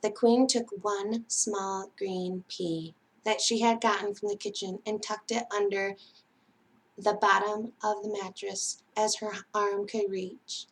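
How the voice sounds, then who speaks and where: A woman reads a children's tale aloud in a fairly high voice. She narrates in the style of a library storytime, telling the story as written. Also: low-quality recording.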